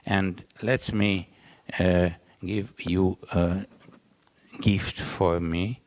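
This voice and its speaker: A man from Romania speaks live on a conference panel with a Hungarian-Romanian accent. His voice sits low and his delivery is markedly slow. He is halting in English, feeling for each phrase as he goes. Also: fairly even intonation. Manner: halting, searching for words in non-native English.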